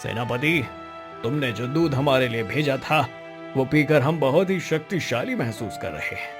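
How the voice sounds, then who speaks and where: A man voicing a stately, shrewd king in a moral-story cartoon, formal, measured and authoritative in manner. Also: mid-range voice, animated, music in the background, natural voice. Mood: stern.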